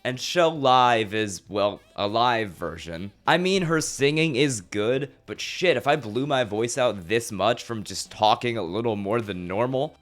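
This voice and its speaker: Man, hoarse from laryngitis, raspy smoker-like voice, mid pitch, sarcastic, exasperated, rhetorical ranting. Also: fairly bright tone. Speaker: music commentator. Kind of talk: casual ranting video review.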